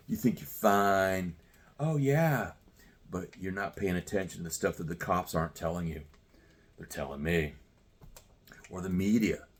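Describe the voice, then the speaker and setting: A man's voice: deep voice, slightly rough. A man in his sixties delivering a profane, confrontational rant straight at the listener. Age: 60s. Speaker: commentator. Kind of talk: ranting monologue.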